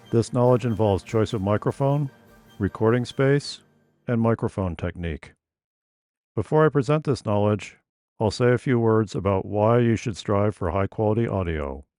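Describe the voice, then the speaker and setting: A man with a strong New England accent giving a scripted explainer in a formal, monotonous, deliberate way. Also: on the low side. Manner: measured, formal, deliberate delivery. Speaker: tech educator.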